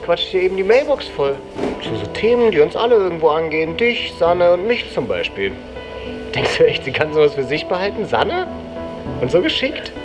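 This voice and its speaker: An actor playing a cocky, pushy young man with slangy, chummy talk in a naturalistic radio drama. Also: a little high. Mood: needling. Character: cocky, slangy young hustler pushing loot on his friend.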